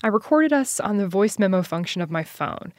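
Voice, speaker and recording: A woman's voice: medium pitch, expressive, fairly quick, no room sound, clean studio sound. A woman reporter reading scripted narration for a radio feature.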